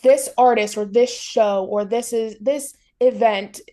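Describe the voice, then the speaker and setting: A woman's voice: fairly high pitch, unhurried, dark tone. A woman hosting a casual interview, talking in an enthusiastic, informal and gushing way. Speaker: interviewer, host.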